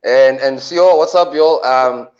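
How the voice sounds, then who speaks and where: A South African man with a deep voice, a radio co-host doing playful comic banter with drawn-out punchlines. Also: darkish tone, lo-fi sound.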